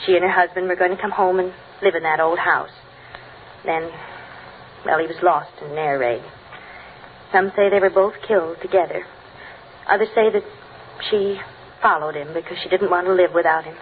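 An American actress playing a gentle, chatty middle-aged wife and mother in 1940s radio comedy style. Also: fairly low pitch, steady tone, normal speed, long pauses, lightly acted. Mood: gossipy, hushed concern. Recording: hissy, narrow-band old radio recording.

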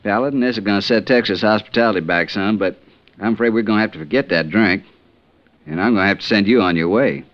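Man playing folksy, low-voiced western ranger captain. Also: natural voice. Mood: regretful. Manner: folksy, drawn-out, plain-spoken. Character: plain-spoken, harried Texas Ranger captain, middle-aged man. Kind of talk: 1950s radio western acting. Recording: dull vintage radio recording.

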